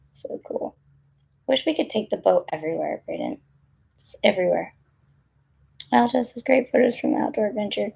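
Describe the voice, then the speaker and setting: A woman with a naturally raspy voice, still hoarse after losing it, talks loosely to her viewers. She rambles through fillers and small laughs. Her tone is gravelly at a middling pitch. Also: a little mellow. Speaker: vlogger answering live chat. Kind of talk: casual live-stream chat.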